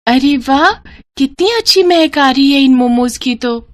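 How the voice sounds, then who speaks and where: A woman voicing a poor young girl in a cartoon story, high and childlike, often pleading and sobbing. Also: measured pace. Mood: eager.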